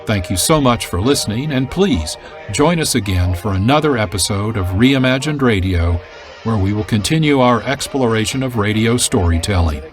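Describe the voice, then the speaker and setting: Low-voiced American male radio host over music. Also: lively intonation, normal speed. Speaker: producer and host. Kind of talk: scripted closing announcement.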